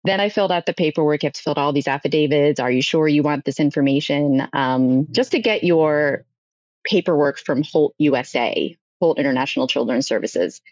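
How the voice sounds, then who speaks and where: A woman's voice: low-pitched, brisk, darkish tone, no echo, dull audio. A woman telling a personal story as a podcast guest, conversationally, often acting out bits of dialogue.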